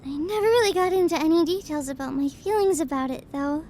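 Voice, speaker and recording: A young woman acting a distraught, self-deprecating best friend confiding her troubles in an intimate audio roleplay, tearful and halting. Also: high voice, darkish tone, slight background noise. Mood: subdued, wistful.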